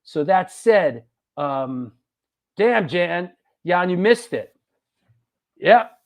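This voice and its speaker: A man's voice: sits high, lively intonation, drawn-out words, creaky. A man hosting a live show, chatting loosely and reading out upcoming gigs and listener names. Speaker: podcast host. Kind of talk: casual live-stream chat.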